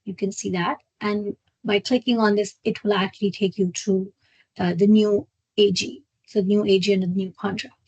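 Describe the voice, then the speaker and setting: A woman presenting a live software walkthrough as a trainer, explaining step by step in a halting way. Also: mid-range voice, steady tone, husky, no room sound, muffled recording. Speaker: software trainer hosting a webinar. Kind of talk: live screen-share product walkthrough.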